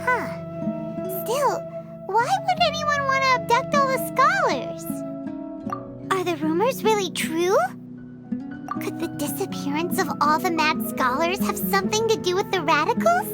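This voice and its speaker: A woman's voice: extremely high pitch, lively intonation, unhurried. A woman voicing a tiny, chatty floating sprite companion who talks about herself in the third person, in a game cutscene. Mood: puzzled. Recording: game cutscene with loud music behind.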